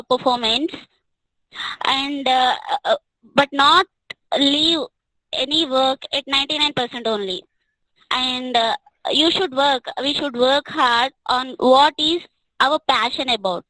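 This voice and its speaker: This is a young woman, speaking to fellow students about a mock interview. Her voice sits high and sounds bright and close, with a dry, tight sound. She talks haltingly, filling pauses with fillers and restarting her phrases. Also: no echo, rough recording. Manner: hesitant, many fillers and restarts.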